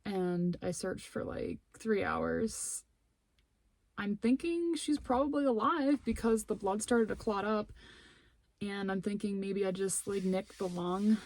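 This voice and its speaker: A woman's voice: mid-range voice, very animated, unhurried, darkish tone, no room sound. A woman bowhunter filming her own hunt, whispering in a breathless, shaky, anxious way, shaken by adrenaline, outdoors in the woods. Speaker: bowhunter vlogger. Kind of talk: whispered hunting vlog commentary.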